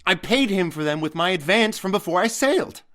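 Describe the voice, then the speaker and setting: An actor playing a devoted, honest young sailor son in an audio drama, speaking in an earnest, measured way. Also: male voice, high-pitched, lively intonation, fairly bright tone. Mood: troubled.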